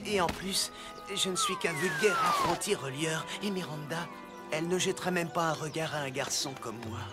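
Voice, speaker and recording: A man's voice: a little high, wildly swooping pitch, normal speed. A man playing a shy, gloomy young apprentice in a cartoon, hesitant, earnest and self-doubting. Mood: nervous. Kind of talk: French-dubbed cartoon acting. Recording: loud music underneath.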